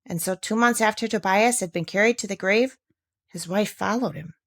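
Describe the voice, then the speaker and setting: A woman playing a brisk, firm young village woman. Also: medium pitch, a bit bright, dry recording, studio quality. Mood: recounting. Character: brisk, self-interested young village woman, defensive and knowing. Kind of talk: storybook character reading.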